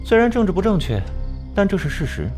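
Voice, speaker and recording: An actor voicing a cold, aloof genius detective in an animated drama, lecturing with mocking detachment. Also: male voice, high voice, quick pace. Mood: cold, dismissive. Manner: mocking, lecturing, coolly rational. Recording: music behind the voice.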